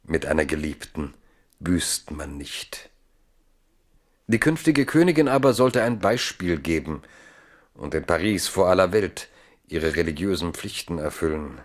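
Low male narrator for an old literary radio play, grave, deliberate, dramatic. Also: lively intonation.

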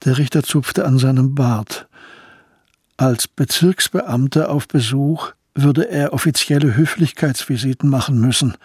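A man narrating a scripted German radio drama in a measured, literary storytelling manner. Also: mid-range voice, darkish tone, breathy edge, no echo, studio quality.

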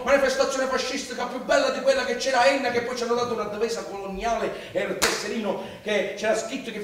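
An actor playing a poor, excitable Sicilian labourer who tells his life in an earthy, declamatory stage monologue. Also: high voice, slight background noise. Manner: declamatory, earthy, with dialect grammar. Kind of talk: theatrical autobiographical monologue. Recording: distant echoey stage recording with faint music.

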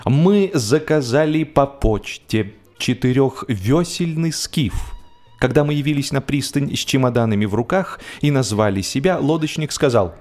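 A man narrating humorous literary prose in an expressive, measured storytelling manner with deliberate pauses. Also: medium pitch. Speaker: audiobook narrator. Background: music.

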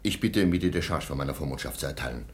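Quick, deep-voiced indignant baron. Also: male voice, animated. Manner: indignant, pompous, clipped formality. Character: aggrieved, self-righteous baron and guardian, stiffly formal. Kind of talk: German radio drama acting.

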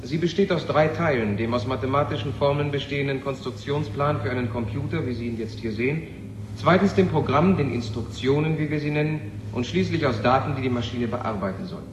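A male actor as an intense, brooding physicist in an old radio drama. His voice sits at a medium pitch. He speaks in an echoing space, on a recording with clear noise. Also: brisk, close to an everyday voice. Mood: formal, lecturing. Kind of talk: 1970 German radio drama acting. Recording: echoey hall, noisy old radio recording.

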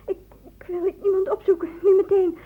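A woman's voice: very high voice, little pitch movement, a little fast. An actress playing a frightened young female student in a radio drama, hesitant and pleading. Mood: panicky, hasty. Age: young adult. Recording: muffled phone-like old radio recording.

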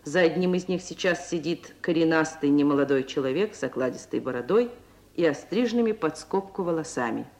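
A narrator reading scripted stage scenes for a radio drama in a measured, literary storytelling manner. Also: medium pitch, darkish tone. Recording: old radio recording with faint hiss.

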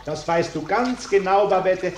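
An actor playing a pompous, self-righteous middle-aged householder in a German radio play, blustering and indignant. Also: male voice, high voice, a little slow, a little hiss or hum. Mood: self-righteous grumbling.

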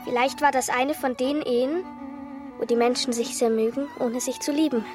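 A woman playing a precocious little girl in a radio mystery. The voice is fairly high, and she reports what she has seen in a candid, chatty, matter-of-fact way. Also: steady tone, normal speed, over music, lightly acted. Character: observant, candid, precocious young girl witness. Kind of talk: radio play acting.

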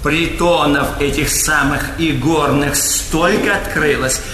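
Fawning high-voiced servant. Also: male voice, steady tone, slow pace, bright, forceful tone. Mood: gossiping. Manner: fawning, insinuating, ornate. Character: obsequious gossiping male retainer, sly and servile. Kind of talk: Russian stage comedy acting. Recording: echoey theatre hall, faint noise.

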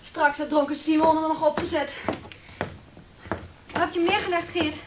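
An actress in a Dutch radio play, playing a caring young sweetheart with a tender, soothing manner. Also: female voice, high-pitched, fairly even intonation, normal speed, very noisy, natural voice. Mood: tender, coaxing.

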